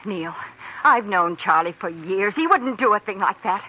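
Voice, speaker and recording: A woman's voice: medium pitch, expressive, normal speed, bright, forceful tone. An actress playing a troubled, doubting young woman in a 1940s radio melodrama, hesitant and earnest. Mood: doubting, pained. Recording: muffled, hissy old radio recording.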